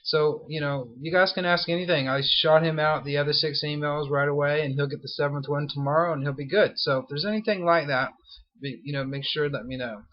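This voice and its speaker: A man's voice: a little high, a little fast, muffled recording. A man in his thirties with a mixed American and Australian accent, chatting casually to his email subscribers in a video blog, rambling and apologetic with many fillers and restarts. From California, United States. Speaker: internet marketer vlogging to subscribers.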